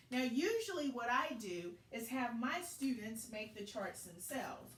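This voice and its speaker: A woman teacher giving an expressive read-aloud lesson for young children, with deliberate, dramatic phrasing. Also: fairly high pitch, a little hiss or hum.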